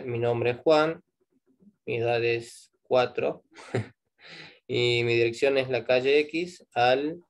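A man's voice: medium pitch, gravelly. A man with an Argentine Spanish accent teaching a live online programming class, explaining step by step and checking in with "¿sí?" after each point.

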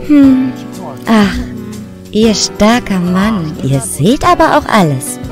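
A woman's voice: mid-range voice, sing-song, slow pace, music in the background. A woman playing a seductive, scornful ghost hunter in a fantasy audio drama, sugary or sneering. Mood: sugary, coy.

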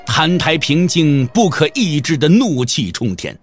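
Lively, higher-pitched male dramatic narrator. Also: a little slow, soft background music. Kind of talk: dramatic scripted audiobook narration.

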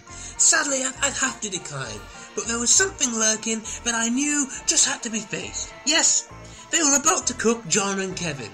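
A man playing a pompous, excitable celebrity cook in a comedy audio drama, sweary and easily panicked. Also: high-pitched, rough recording.

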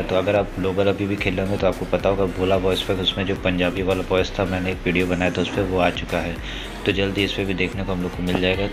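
A man's voice: sits low, low-quality recording. A man with an Indian accent giving casual gaming news commentary, talking informally to his viewers like brothers. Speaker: gaming YouTuber. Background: music behind the voice.

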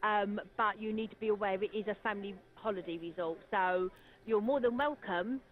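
A woman's voice: mid-range voice, brisk. Frank British woman on a phone line. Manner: polite, frank, explanatory. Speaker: holiday resort booking agent. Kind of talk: customer service phone call. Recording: tinny, narrow telephone line.

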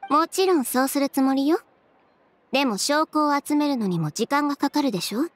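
A proud, sharp-tongued young woman from a video game. Her high voice swings expressively, yet she stays cool, haughty and unflappable. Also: lively intonation, dry recording. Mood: composed, justifying. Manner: cool, haughty, deliberate sarcasm. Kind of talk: Japanese game voice acting.

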